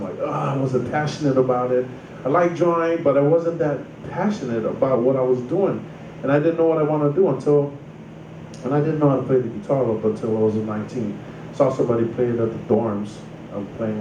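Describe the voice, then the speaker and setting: Relaxed rambling man, roomy distant sound. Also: mid-range voice, dull audio. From Samoa. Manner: relaxed, rambling, frequent "you know" and "like". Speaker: musician telling his life story. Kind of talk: casual storytelling. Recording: roomy distant mic with noise.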